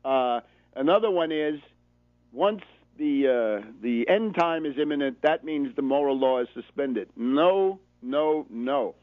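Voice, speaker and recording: A man's voice: mid-range voice, expressive, measured pace, no room sound. An American man hosting a political radio show, delivering emphatic, sardonic commentary as a monologue with rhetorical repetition. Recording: narrow phone-like sound, dry.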